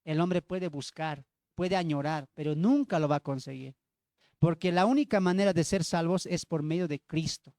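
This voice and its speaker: High-pitched Bolivian man preaching. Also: normal speed, a little mellow, no room sound, clean studio sound. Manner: emphatic, repeats phrases, asks rhetorical questions. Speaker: evangelical preacher. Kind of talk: sermon with Bible teaching.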